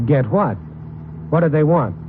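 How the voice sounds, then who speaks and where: An American actor playing a wry, hard-boiled night reporter in a 1950s radio drama, both narrating and talking in dry slang. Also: male voice, on the high side, lively intonation, measured pace, narrow, tinny audio, noisy background. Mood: puzzled, wry. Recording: band-limited old radio broadcast recording.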